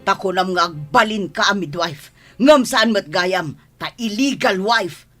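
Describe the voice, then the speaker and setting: A woman's voice: mid-range voice, animated, unhurried, a bit bright, soft background music. A woman with an Ilocano accent playing an exasperated, sarcastic mother who scolds in comedy radio drama style. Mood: exasperated scolding.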